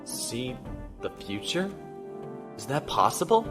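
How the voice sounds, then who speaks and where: A man playing a calm, shrewd starship captain in a science fiction audio drama, speaking in a measured, deliberate way. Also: mid-range voice, music in the background. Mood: analytical.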